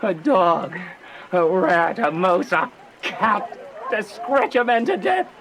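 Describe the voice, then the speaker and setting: A man's voice: sits high, animated, takes it slowly, crowd noise, exaggerated voice. An actor playing a witty, fiery young nobleman in a Shakespearean radio drama, theatrical and mocking. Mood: bitter joking.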